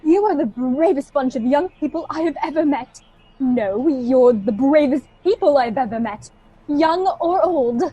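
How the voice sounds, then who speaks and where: A woman's voice: high-pitched, expressive, a little slow, warm tone, poor audio. A woman playing an admiring, authoritative space officer in a radio play.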